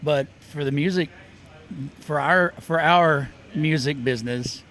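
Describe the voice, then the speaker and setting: A man's voice: fairly high pitch, measured pace, fairly bright tone. A middle-aged man from Oklahoma and Texas, a musician guest chatting casually and rambling through stories with many restarts at an outdoor festival. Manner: rambling, self-interrupting storyteller. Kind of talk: casual interview chat.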